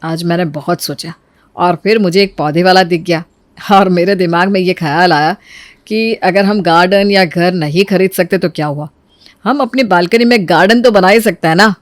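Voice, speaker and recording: A woman's voice: lowish voice, moderate pace, dry recording, close to an everyday voice. A woman voicing a caring, thoughtful young husband in acted story dialogue. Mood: hopeful, tender.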